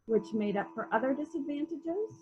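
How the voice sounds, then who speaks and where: A woman playing a brisk, authoritative police detective who puts pointed questions in a murder mystery reading, on slightly dull lo-fi audio. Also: high-pitched, lively intonation, a little mellow. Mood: probing, brisk.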